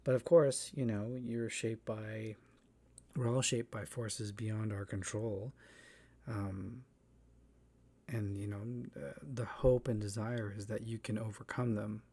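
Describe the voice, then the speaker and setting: A man speaks in a low, unhurried voice, musing aloud through a personal monologue. He hesitates often, restarting phrases and filling gaps as he thinks. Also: level tone, darkish tone, breathy edge. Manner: thoughtful, hesitant, many fillers and restarts. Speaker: theatre artist. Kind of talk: reflective spoken monologue. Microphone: voice-over, face not shown.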